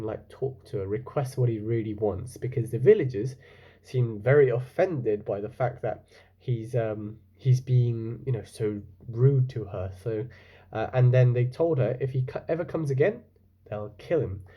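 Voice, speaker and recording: A young male primary teacher reads a story aloud with a mellow, slightly husky voice. He pauses to explain words and ask his class questions. His manner is friendly and explanatory, with some fillers and restarts. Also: mid-range voice. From England.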